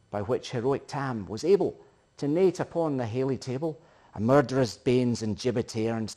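A middle-aged man with a Scottish accent giving a theatrical, emphatic recitation of a narrative poem in Scots, swelling and hushing as he goes. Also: mid-range voice. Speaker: poetry reciter. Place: living room, indoors.

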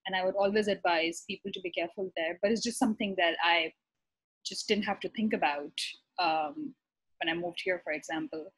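Husky-voiced young woman on a video call. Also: fairly low pitch, fairly quick, a bit bright, low-quality recording. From Pakistan. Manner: thoughtful, many ums and restarts. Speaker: physician guest. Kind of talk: casual conversational interview. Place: bright apartment room, indoors. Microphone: laptop webcam on a video call.

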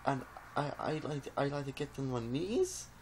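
A man's voice: mid-range voice, very animated, normal speed, a little mellow, lightly acted. A man voicing a silly South African character with an imitation accent, stuttering and repeating himself. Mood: mocking, silly. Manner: stuttering, repetitive, emphatic. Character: dim-witted South African man, crude mocking caricature. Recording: noisy webcam-style home recording.

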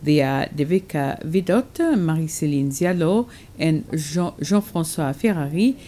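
A woman with a Seychellois accent reading the evening news in a steady, formal way. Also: deep voice, a little slow. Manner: steady formal delivery with a few fillers. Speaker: news anchor. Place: TV studio.

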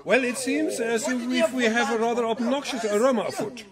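An actor playing a formal, wry science teacher in an audio drama, precise and wordy in manner. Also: male voice, very high voice, normal speed, crowd noise. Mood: dryly stern.

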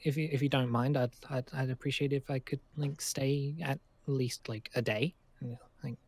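A man's voice: medium pitch, steady tone, normal speed, a little mellow. A man improvising game roleplay in a hesitant, stammering way.

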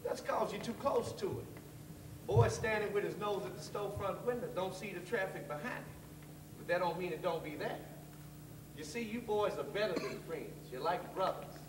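A man's voice: sits high, close to an everyday voice. A man playing a kindly, wary old janitor with a folksy Southern way of talking in a stage play. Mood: fatherly. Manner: folksy, drawn-out storytelling. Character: kindly, wary old blues-loving orphanage janitor, fatherly mentor. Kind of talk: stage acting. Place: theatre stage, far camera. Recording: distant echoey theatre stage, noisy.

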